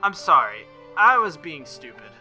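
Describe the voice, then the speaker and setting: A man's voice: fairly high pitch, big pitch swings, normal speed, sharp tone, exaggerated voice. A man voicing an earnest, stubborn teenage boy from a visual novel, plain-spoken and sincere, with music behind. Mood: sincere, apologetic. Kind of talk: visual novel dialogue read aloud.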